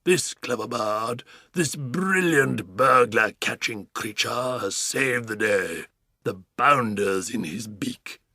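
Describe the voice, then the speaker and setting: An actor playing a pompous, blustering old duke who bellows his orders slowly and emphatically. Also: mid-range voice, animated, sharp tone, dry recording, clean studio sound, heavily acted. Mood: commanding.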